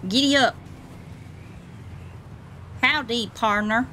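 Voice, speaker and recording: Southern gal streaming games, folksy cowboy talk, drawly and chatty. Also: female voice, fairly high pitch, slow pace, bright, forceful tone, a little hiss or hum. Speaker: livestreaming VTuber host playing a video game. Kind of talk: casual livestream chat.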